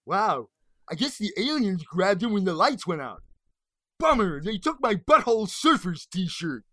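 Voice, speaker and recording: Easygoing, shy young slacker, played by an actress, unhurried, dry close sound, comedic audio drama. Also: female voice, medium pitch, sing-song, a bit bright, dry recording. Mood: shy, friendly. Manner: casual, awkward.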